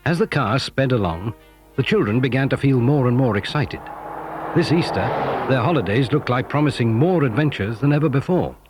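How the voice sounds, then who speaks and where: A man narrating a scripted children's adventure story in an animated storytelling manner. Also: mid-range voice, normal speed, over music.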